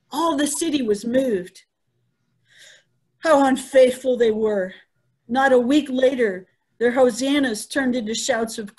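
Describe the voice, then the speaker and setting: A woman's voice: sits high, a little mellow, breathy edge, heavily acted. A woman playing an earnest female eyewitness to the crucifixion in a dramatic reading. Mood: indignant, baffled. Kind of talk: dramatic Easter scripture reading. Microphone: video call.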